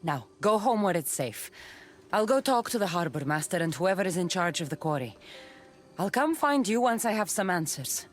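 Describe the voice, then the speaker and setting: A woman's voice: lowish voice, sing-song, moderate pace, a little hiss or hum. An actress playing a weary, wry and compassionate warrior woman in a video game. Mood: gentle, teasing. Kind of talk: dramatic video game acting.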